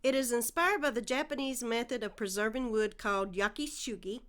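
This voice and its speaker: A woman's voice: fairly high pitch, fairly quick, a bit bright. A woman with a Southern American accent narrating a home DIY project in a friendly, step-by-step way. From Southern United States. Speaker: DIY channel host. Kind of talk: instructional voice-over narration.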